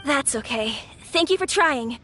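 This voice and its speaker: Bright, high, anxious small child. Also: female voice, expressive, measured pace, soft background music, exaggerated voice. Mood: downcast. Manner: earnest, pleading. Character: anxious, earnest small child searching for father. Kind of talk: video game voice acting.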